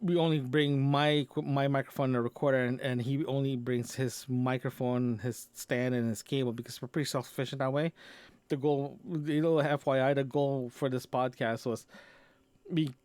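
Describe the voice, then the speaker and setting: A man's voice: mid-range voice, fairly even intonation, normal speed, darkish tone, clean tone, dry recording. Rambling man on a dry close mic. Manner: rambling, with frequent restarts and fillers. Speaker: podcast host. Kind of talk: casual solo audio log. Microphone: dynamic microphone into portable recorder.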